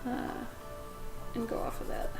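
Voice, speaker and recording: A young woman with a somewhat raspy voice, chatting casually while she draws on a livestream and talks to her dog and to viewers. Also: a little slow, in a whisper, loud music behind the voice, poor audio. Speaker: livestreaming pet portrait artist. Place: home art studio with plastic bins.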